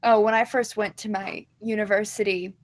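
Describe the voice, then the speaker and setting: A woman's voice: mid-range voice, fairly even intonation, moderate pace. A young woman with a Southern US accent, chatting on a podcast in a rambling, giggly way. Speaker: podcast guest. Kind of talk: casual chat.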